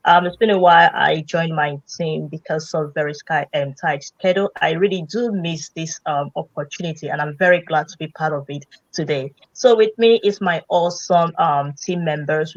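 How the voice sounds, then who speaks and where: Low-voiced woman hosting on a video call. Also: dry recording, muffled recording. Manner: warm greetings with frequent fillers. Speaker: host. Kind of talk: casual live-stream chat.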